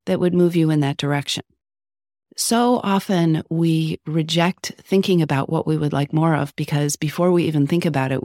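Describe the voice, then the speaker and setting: A woman hosting an advice podcast, talking with a sore throat, a little scratchy, earnest and coaching, slowing down on key points. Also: lowish voice, a little mellow, no echo, clean studio sound. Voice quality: slightly scratchy. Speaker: podcast host, psychologist.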